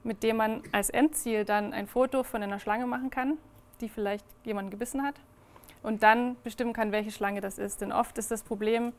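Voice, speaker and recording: A woman's voice: on the high side, steady tone, normal speed. A young woman giving a presentation to a small audience indoors, talking with many fillers and restarts. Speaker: project presenter. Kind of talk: presentation talk. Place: indoor reading room, in front of a small audience.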